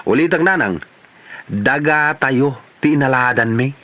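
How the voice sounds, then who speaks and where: A man's voice: mid-range voice, lively intonation, unhurried, no echo, dull audio, natural voice. A man with an Ilocano Filipino accent playing a stubborn, grumbling village man in a radio comedy drama. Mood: defensive, stubborn.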